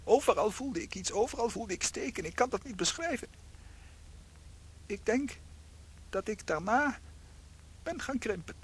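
A woman playing a tiny shrunken unfaithful husband in a radio play, theatrical, anxious and guilt-ridden, with a high husky voice. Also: expressive, a little slow, pauses often, slight background noise. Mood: remorseful.

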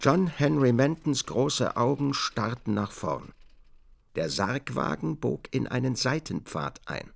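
A man's voice: mid-range voice, lively intonation, slow pace, gravelly. A man reading scripted literary narration for a radio drama.

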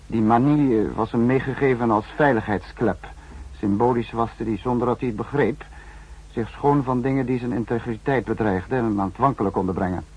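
An actor playing a calculating senior spymaster in a 1960s radio drama, speaking in a measured, probing, formal way. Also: male voice, mid-range voice, a little mellow, close to an everyday voice. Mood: cool, calculating. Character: calculating male spymaster, senior protector of secret service. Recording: dull, faintly husky 1960s radio recording.

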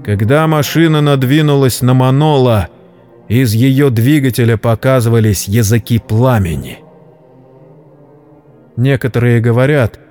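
A man narrating a scripted story dramatically, in a solemn, measured literary manner. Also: medium pitch, darkish tone. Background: background music.

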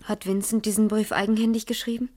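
Actress as a probing, husky female detective. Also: medium pitch, flat delivery, quick pace, mellow, dry recording, lightly acted. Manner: probing, insistent. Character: sharp, persistent female private detective, questioning and reasoning. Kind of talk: German radio crime drama acting.

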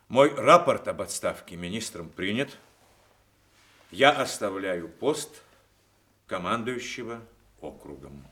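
An actor playing a dignified senior military commander, formal and deliberate, in a Soviet radio play. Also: male voice, fairly low pitch, bright, forceful tone. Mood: restrained, solemn. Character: dignified senior army commander, male, courteous, measured.

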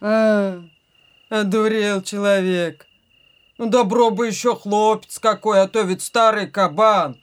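A woman's voice: medium pitch, steady tone, slow, clear, resonant tone, natural voice. An actress playing a scolding, scornful village woman in an audio drama, with nagging, reproachful exclamations.

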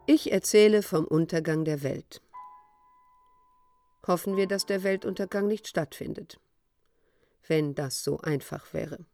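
A low-pitched woman's voice with a gravelly edge narrates the end times in a literary radio play. She is sober, deliberate and disillusioned. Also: mellow, faint music behind. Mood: sombre, reflective. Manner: measured, sombre, deliberate. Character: sober, disillusioned female storyteller of the apocalypse. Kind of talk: literary radio drama monologue.

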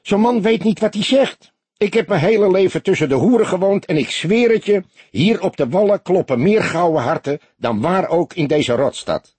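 A small, slender barkeeper from an Amsterdam audiobook tale, acted out by a male narrator. His voice is pitched high and swoops about excitedly, slick and jovial, with a chirpy, easily offended manner. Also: normal speed, breathy edge, dry recording. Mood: indignant. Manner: chirping, exuberant, indignant-prone. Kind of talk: audiobook character voice.

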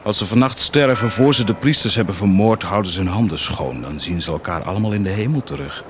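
An actor playing a cynical, taunting war commander in a radio drama, mocking and insistent, moving between murmurs and outbursts. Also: male voice, lowish voice, quick pace, slight background noise, close to an everyday voice. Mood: insistent, persuasive.

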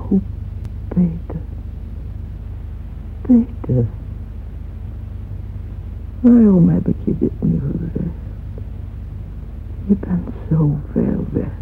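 An actress playing a grieving, weary old woman in an old radio play, speaking in a halting, anguished, dramatic way. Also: lowish voice, lively intonation, vocal fry, slight background noise, exaggerated voice. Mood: wistful, confused. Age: older. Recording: dull vintage radio recording with music.

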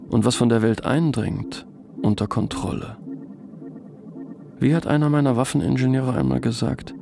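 An actor playing a wary, controlling, reclusive arms manufacturer, speaking formally with measured self-satisfaction in a radio play. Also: male voice, medium pitch, creaky, darkish tone, a little hiss or hum.

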